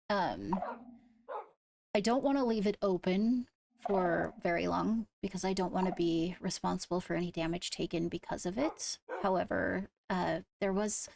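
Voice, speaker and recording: Measured woman with a creaky voice. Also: fairly low pitch, darkish tone. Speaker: roleplay streamer playing a kingdom member. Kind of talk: improvised in-character roleplay discussion.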